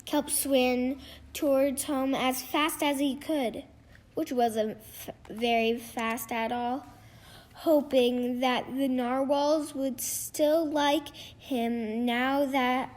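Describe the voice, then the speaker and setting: Girlish high female voice reading a kids book out loud slowly, trips on words and starts again. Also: steady tone. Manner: halting, stumbles over words and rereads phrases. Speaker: reader of a children's picture book. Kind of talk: storybook read-aloud.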